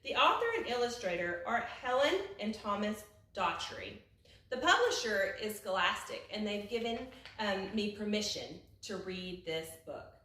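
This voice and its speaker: A woman reading a children's picture book aloud like a teacher at storytime, with dramatic hushed and raised phrases. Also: mid-range voice, animated, a little slow. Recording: echoey room, distant from the mic.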